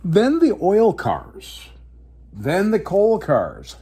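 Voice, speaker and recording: A man reads a children's picture book aloud as the host of story time. His voice is slightly high and very animated, with dramatic, drawn-out phrasing aimed at young listeners. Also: husky.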